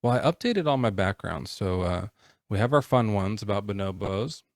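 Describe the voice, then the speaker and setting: Low-voiced man rambling on a live stream. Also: expressive, no room sound, studio quality. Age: 40s. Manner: rambling, halting, many fillers and restarts. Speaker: livestream host. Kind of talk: casual live-stream chat.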